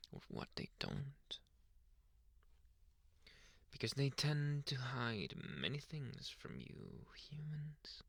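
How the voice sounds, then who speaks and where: Man playing a slow, creaky-voiced possessive werewolf. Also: mid-range voice, fairly bright tone, no echo, studio quality, full character voice. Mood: reassuring, secretive. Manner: intimate, halting, breathy murmurs drifting into whispers. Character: possessive young male alpha werewolf, tender but menacing. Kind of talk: ASMR roleplay addressed to the listener.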